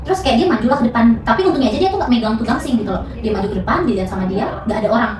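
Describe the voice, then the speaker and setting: A woman's voice: fairly high pitch, sing-song, rapid-fire, echoey room, distant mic, background noise. Chatty young woman telling a story. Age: young adult. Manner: chatty, many fillers and restarts. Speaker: student podcast storyteller. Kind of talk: casual storytelling chat.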